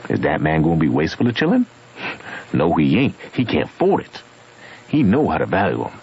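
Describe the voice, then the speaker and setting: A man's voice: low-pitched, creaky, a little mellow, heavily acted. A man playing a stubborn, homespun Southern man in an old radio drama, arguing his own logic in an emphatic, folksy way with a Southern US accent. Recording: muffled, noisy vintage radio recording.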